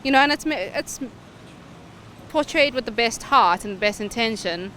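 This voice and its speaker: Woman with highish voice chatting casually outside, faint traffic behind. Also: expressive, moderate pace, pushed and bright. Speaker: street interviewee. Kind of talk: casual interview answers. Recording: outdoor street with traffic noise.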